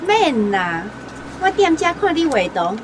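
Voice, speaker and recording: A woman's voice: high voice, big pitch swings, unhurried, background noise. A Taiwanese woman playing a brazen, teasing landlady in her fifties or sixties in a radio drama. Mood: teasing, flirtatious. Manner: teasing, coquettish.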